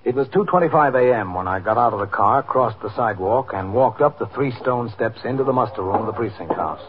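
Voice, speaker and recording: A man's voice: medium pitch, expressive, fairly quick, close to an everyday voice. An actor playing a matter-of-fact male police captain and narrator in a 1950s radio drama, formal and procedural. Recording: noisy, tinny 1950s radio recording.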